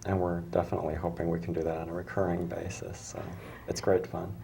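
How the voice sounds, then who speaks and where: A woman hosting a talk show, hoarse from allergies, chatting casually and often restarting her sentences. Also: very deep voice, flat delivery, fast, warm tone, a little hiss or hum. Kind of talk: casual studio chat.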